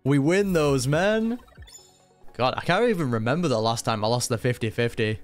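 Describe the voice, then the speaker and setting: A man giving informal play-along commentary on a game, reacting out loud. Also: medium pitch, normal speed. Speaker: gaming reaction streamer. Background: game music.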